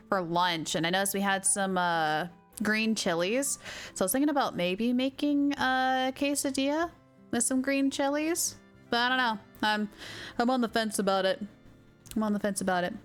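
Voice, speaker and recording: A woman hosting a livestream, chatting casually and reacting out loud in an animated way. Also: mid-range voice. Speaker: livestream host. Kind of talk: casual gaming stream chat. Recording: game music behind the voice. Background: music.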